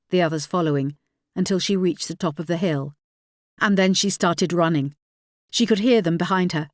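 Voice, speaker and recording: A woman's voice: fairly low pitch, dry recording, clean studio sound. A woman audiobook narrator reading dramatic fiction from a script.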